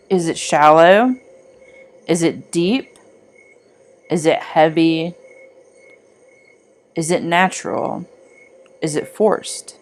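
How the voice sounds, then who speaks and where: A woman reading a scripted guided meditation in a soothing, deliberate manner with long pauses. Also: sits low.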